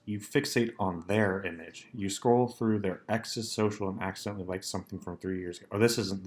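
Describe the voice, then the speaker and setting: A young man with a deep, low-pitched voice talks alone on his podcast. He rambles from one aside to the next in a dry, deadpan way, filling the gaps with plenty of ums. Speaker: solo podcast host. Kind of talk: rambling solo podcast chat.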